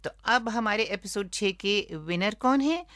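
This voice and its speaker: Expressive Indian woman presenting radio. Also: medium pitch, unhurried, dry recording. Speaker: radio show co-host. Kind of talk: scripted radio show presenting with playful banter.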